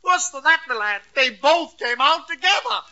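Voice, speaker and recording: An actor playing a fussy, excitable avuncular friend in an old radio comedy, with theatrical delivery. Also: male voice, very high voice, moderate pace, slight background noise, full character voice. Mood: dramatic. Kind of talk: 1940s-50s radio comedy acting. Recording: dull old radio recording.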